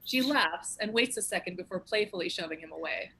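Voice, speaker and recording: A woman's voice: lowish voice, lively intonation, a little fast, creaky, sharp tone, breathy edge, low-quality recording. A woman narrator reading stage directions in a scripted narration style.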